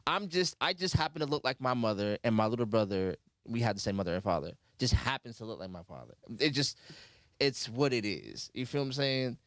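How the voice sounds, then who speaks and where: A man's voice: medium pitch, expressive, a little fast, a bit bright, no room sound, studio quality. A man hosting a casual podcast, telling stories with slang and frequent laughter. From Cleveland, USA. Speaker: podcast host.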